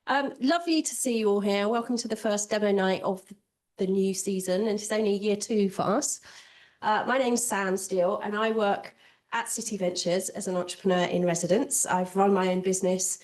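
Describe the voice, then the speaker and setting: A woman with a cold and a slightly croaky voice, moderating a fireside chat in a friendly, slightly rambling way. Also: medium pitch, moderate pace, darkish tone, far from the mic. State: has a cold, a bit croaky. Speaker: interviewer and event moderator.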